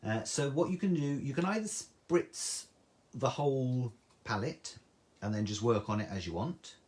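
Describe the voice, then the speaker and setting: A man's voice: medium pitch, animated, a little slow, darkish tone. A man with a soothing voice, chatting through a live craft tutorial and reading out viewer comments. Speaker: craft demonstrator.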